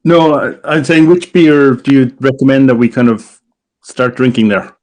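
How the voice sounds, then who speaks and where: A man hosting a relaxed roundtable interview, asking the guests questions. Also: mid-range voice, normal speed. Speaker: host. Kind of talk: casual interview chat.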